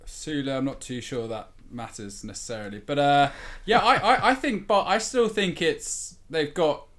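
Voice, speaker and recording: A man's voice: fairly high pitch, moderate pace, a bit bright. A man on a football podcast panel, chatting casually about matches from his bedroom. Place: at home in a bedroom, on a remote call.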